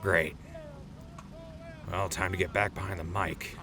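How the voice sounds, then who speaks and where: A man plays a weary, sardonic male radio host from a post-apocalyptic audio drama. His voice sits low and gruff, casual in delivery, with music behind it. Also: little pitch movement, a little slow. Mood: guilty, irritated. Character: world-weary, sarcastic, guilt-ridden old wasteland radio host.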